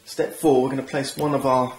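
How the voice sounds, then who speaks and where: A man with a gravelly, creaky voice gives a board game setup walkthrough. His pitch stays flat, and his tone is chatty and keen, with self-mocking asides. A faint hiss sits behind him. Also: brisk, low-quality recording. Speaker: board game channel host. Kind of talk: tabletop game rules walkthrough.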